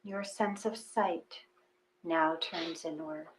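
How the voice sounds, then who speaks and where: A woman with a fairly low voice leads a guided meditation. She speaks very slowly and gently, leaving long pauses between her phrases. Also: fairly even intonation, slight background noise, poor audio. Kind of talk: slow guided meditation reading.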